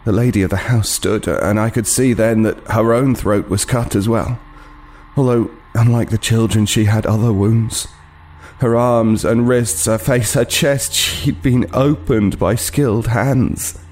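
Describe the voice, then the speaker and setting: An actor playing a haunted, guilt-ridden homeless man, telling his horror tale in a grave, deliberate, literary way for an audio play. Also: fairly low pitch, steady tone, a little mellow. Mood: haunted, remorseful. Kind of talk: dramatic first-person horror narration, audio play.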